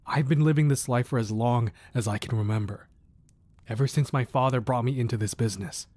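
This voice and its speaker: A man playing a protective, ruthless crime boss who is tender with his wife, speaking softly and earnestly in an intimate romantic ASMR roleplay. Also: mid-range voice, no echo, lightly acted. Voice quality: soft, husky, breathy. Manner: earnest, measured, firm yet affectionate.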